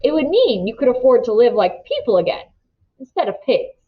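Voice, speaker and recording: Woman narrator as smug storybook gentleman villain, greedy, petulant, lively swooping intonation, slightly dull recording. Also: brisk. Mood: nervous petulance. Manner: smooth talk turning higher, petulant and shrill. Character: smug, greedy man with a thin, petulant politeness.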